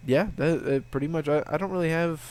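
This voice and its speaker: A man's voice: fairly high pitch, fast, darkish tone, clear, resonant tone. A young man with a sniffly, slightly stuffed-up voice, casually answering viewer questions in a rambling, laid-back way with lots of swearing and restarts. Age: young adult. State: sniffly with a sore throat. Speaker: video game walkthrough channel host.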